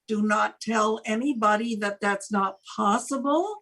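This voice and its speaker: An older woman co-hosting a casual talk show, speaking in a measured, halting way. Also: mid-range voice, a bit bright. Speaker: podcast co-host. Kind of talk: casual chat.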